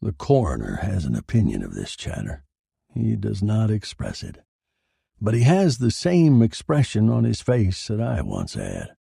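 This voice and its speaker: A man's voice: lowish voice, huge pitch swings, measured pace, gravelly, mellow, slightly rough, no echo, clean studio sound, full character voice. A man voicing a bitter, sardonic dead husband who narrates his own noir horror story in a theatrical way. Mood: wry, brooding. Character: dead murdered husband, bitter, wry, macabre narrating corpse.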